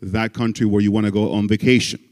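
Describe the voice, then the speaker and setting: A man's voice: fairly low pitch, flat delivery, quick pace, a little mellow. A male guest pastor from the Dominican Republic, preaching a folksy sermon with jokes and frequent amens. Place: church sanctuary. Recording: church hall reverb.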